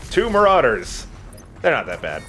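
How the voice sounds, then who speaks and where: A man's voice: mid-range voice, wildly swooping pitch, normal speed, a bit bright, a little hiss or hum. A man chatting casually as he commentates on his gameplay, in an informal, self-deprecating way. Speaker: let's player playing and commentating. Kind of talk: casual gaming commentary chat.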